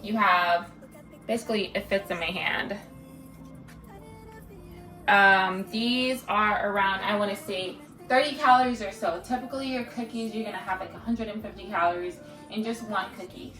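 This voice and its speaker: A woman's voice: mid-range voice, moderate pace, sharp tone. A woman with a Jamaican accent chatting casually as a cooking vlogger, filling her talk with "like" and "guys". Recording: echoey room, distant mic, music underneath.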